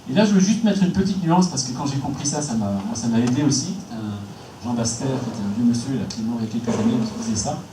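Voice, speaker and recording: A priest giving a lively conference talk on faith and ecology, acting out inner voices, with frequent restarts. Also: male voice, mid-range voice, fast talker, a little hiss or hum. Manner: animated, acts out inner voices, many restarts. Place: hall. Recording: echoey hall, distant mic, faint background.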